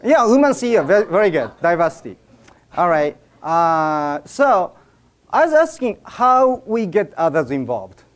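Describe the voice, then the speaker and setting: A man's voice: high voice, sing-song, normal speed. A man with a Japanese accent gives a humorous talk to a live audience, getting people to join in. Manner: jokey, drives audience participation. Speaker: speaker at an event. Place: event room with an audience.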